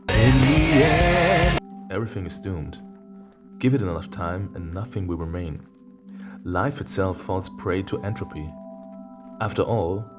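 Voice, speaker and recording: A man who is not a native English speaker, reading a visual novel aloud as a let's player and breaking off for sweary, sarcastic commentary. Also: deep voice. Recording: background music behind the voice. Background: game music.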